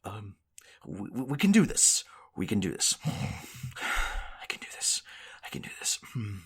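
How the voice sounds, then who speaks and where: A man's voice: on the low side, big pitch swings, unhurried, fairly bright tone, breathy edge, whispered, heavily acted. A man playing a showy news show host in an audio drama, speaking like a presenter with dramatic emphasis. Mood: nervous. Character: male TV news reporter, showy but easily rattled. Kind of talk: audio drama acting as broadcast narration.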